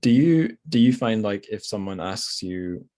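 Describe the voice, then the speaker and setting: Scottish male podcast host, very low voice, loose conversational delivery with frequent restarts. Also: animated, unhurried, warm tone. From Scotland. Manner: rambling, hesitant with many restarts and fillers. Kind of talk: casual conversation.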